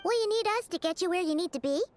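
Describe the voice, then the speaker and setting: A woman voicing a tiny, childlike fairy companion in a video game, squeaky, chatty and curious. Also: animated, racing, darkish tone, soft background music. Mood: skeptical, concerned. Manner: refers to herself in third person, chatty.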